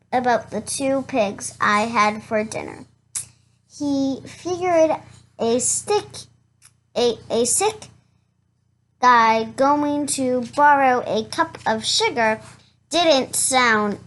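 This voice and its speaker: Someone reading a children's storybook aloud in a halting way, stumbling over words and going back over them. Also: mid-range voice, a bit bright, a little hiss or hum. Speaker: reader of a children's picture book.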